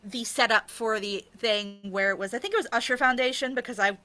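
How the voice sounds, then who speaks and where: A woman with a slightly high, bright and forceful voice chats candidly in an interview. She is blunt and emphatic, and she swears freely as she talks. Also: brisk. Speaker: interviewed writer and podcast creator. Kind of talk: candid casual interview chat.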